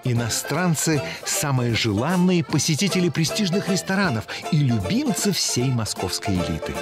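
A man's voice: a little high, normal speed. Dramatic male documentary narrator over music. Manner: expressive, dramatic storytelling delivery. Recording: voice-over with loud music bed. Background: background music.

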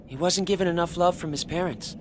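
An actor playing a hesitant, flippant young hero in a video game, casual and a bit timid. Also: male voice, sits high, fairly quick, a little hiss or hum, lightly acted. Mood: casual, unsure.

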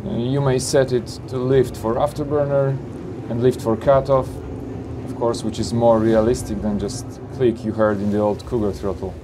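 A man's voice: medium pitch, flat delivery, moderate pace, noisy background. A man presents flight simulator cockpits in an upbeat, salesy way, often adding "you know" and "of course". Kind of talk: product showcase narration. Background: background music.

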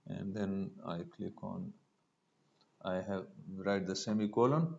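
A man giving a step-by-step screencast programming tutorial, halting as he thinks aloud while typing. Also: low voice, fairly even intonation, creaky. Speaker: programming instructor. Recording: dull lo-fi mic with noise.

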